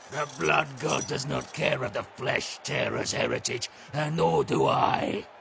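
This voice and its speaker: Gravelly, snarling brutish warlord. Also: male voice, medium pitch, a bit bright, a little hiss or hum, heavily acted. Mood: scornful menace. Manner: theatrical, bellowing, drawn-out words. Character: brutish, arrogant warlord, hot-tempered and menacing. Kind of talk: audio drama acting.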